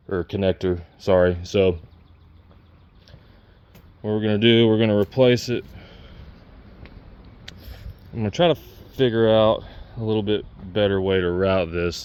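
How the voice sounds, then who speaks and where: A man's voice: low voice, a little mellow, smooth. A man giving a casual, unscripted DIY walkthrough, talking plainly and pointing things out as he works. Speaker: DIY vlogger demonstrating a truck CB radio install.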